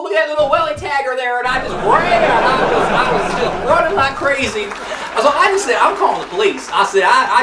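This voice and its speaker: Folksy old granny gabbing away, a guy putting her on, kinda far from the mic in a roomy space. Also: extremely high pitch. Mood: gossipy. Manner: gossipy, folksy, rambling. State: out of breath. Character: chatty sassy old grandma cooking host. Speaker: cooking show host. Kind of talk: comedic character monologue. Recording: roomy sound, mic at a distance.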